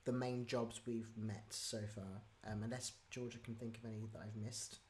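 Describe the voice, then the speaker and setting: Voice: medium pitch, little pitch movement, normal speed, a little mellow, slight background noise. Chatty, giggly livestream host. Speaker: host and writer of an audio drama series. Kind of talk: casual livestream Q&A chat.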